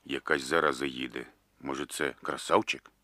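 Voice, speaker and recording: A man's voice: rumbling low, fairly even intonation, normal speed, bright, forceful tone, no echo. An actor playing an earthy, deferential village militiaman in a radio play, with a Ukrainian accent and folksy, exclamatory speech. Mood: alert, urgent.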